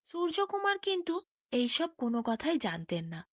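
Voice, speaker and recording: Female fairy-tale narrator: higher-pitched voice, very lively melody, scripted bedtime storytelling. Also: normal speed, no echo, clean studio sound.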